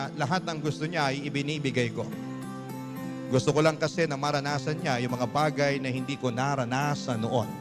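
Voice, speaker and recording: A man hosting a radio show, narrating a listener's letter as dramatic scripted storytelling. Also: a little high. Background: background music.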